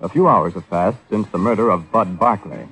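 A man's voice: deep voice, moderate pace, fairly bright tone, rough recording. A man announcing and narrating in formal, declamatory 1950s radio style. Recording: old radio recording, music behind.

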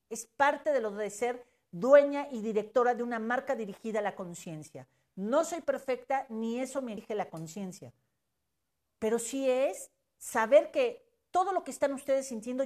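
A woman's voice: fairly high pitch, a little mellow. A Mexican woman in her fifties giving an emphatic, preachy motivational talk on social media, with casual swearing. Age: 50s.

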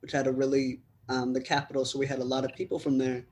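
A man's voice: medium pitch, level tone, fast, darkish tone. A man from the United States interviewing a footballer, asking long, rambling questions in a chatty way.

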